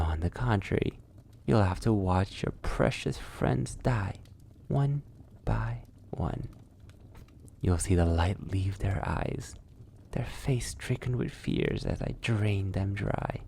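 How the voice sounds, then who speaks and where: A seductive vampire lord, played by a man, speaks very slowly in a dark, mellow murmur with a creaky edge. He is mockingly courteous and cruel, drawing out each taunt close to a whisper, as intimate ASMR roleplay. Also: deep voice, a little hiss or hum, full character voice. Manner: breathy near-whispers, drawn-out taunting, archly polite.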